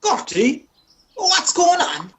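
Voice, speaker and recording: A woman's voice: extremely high pitch, big pitch swings, slow pace, slight background noise, heavily acted. A woman playing a hapless, flustered man in a radio play, hesitant and stammering. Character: flustered, hapless man caught with his lover.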